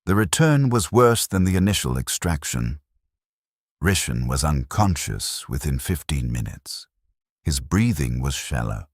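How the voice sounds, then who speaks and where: A man narrating a scripted first-person war story in a grave, deliberate manner with short, somber sentences. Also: very deep voice, breathy edge, no room sound, studio quality.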